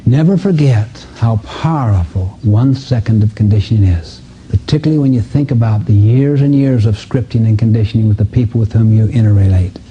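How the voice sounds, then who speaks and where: Man, very dark mellow voice, earnest emphatic seminar speaker, storytelling, dull muffled recording. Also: lowish voice, a little fast, few pauses, slight background noise. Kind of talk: motivational seminar lecture with anecdotes.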